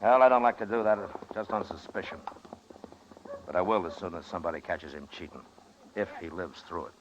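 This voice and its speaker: A stern frontier marshal played by a man speaks low and tersely with dry authority. It is western radio drama from the 1950s, on a dull, noisy old recording. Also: fairly quick, close to an everyday voice. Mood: weary, dry. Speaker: lead radio actor.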